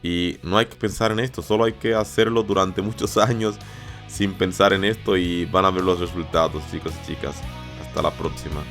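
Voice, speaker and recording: Deep-voiced man teaching his own language. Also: fairly even intonation, moderate pace, creaky, fairly bright tone, faint music behind. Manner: repeats phrases for emphasis; addresses listeners directly and encouragingly. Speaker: Spanish teacher and podcast host. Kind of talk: podcast monologue for learners.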